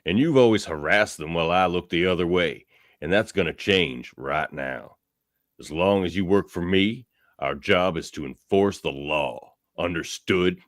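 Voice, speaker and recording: Gruff frontier sheriff played by a man, very low voice, firm and plain-spoken, radio drama, dry close sound. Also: measured pace, no room sound. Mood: stern. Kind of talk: amateur radio theatre acting.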